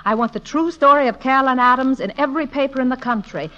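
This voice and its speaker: 1940s radio comedy heroine: bold lady writer in love, bright, theatrical, teasing. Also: high voice, quick pace. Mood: brazen, coy. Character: bold, playful woman novelist in love. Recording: dull old radio recording.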